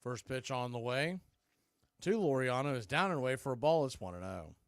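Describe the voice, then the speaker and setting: A man's voice: mid-range voice, lively intonation, fairly quick, smooth, no echo, clean studio sound. A man calling live baseball play-by-play for radio in clipped, punchy phrases. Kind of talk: live radio sports commentary.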